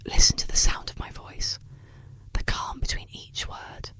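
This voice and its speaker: A breathy, gentle whisper, speaking soothing and reassuring words in the style of an ASMR guided relaxation session. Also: measured pace, no room sound. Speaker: ASMR therapist roleplayer.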